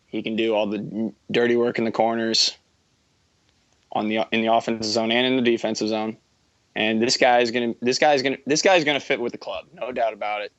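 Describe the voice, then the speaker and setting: A man's voice: medium pitch, steady tone, fairly quick, a bit bright, dry recording. Young man, dull dry podcast audio. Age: early 20s. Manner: rambling, self-correcting, enthusiastic fan talk. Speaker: podcast co-host. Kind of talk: casual sports chat.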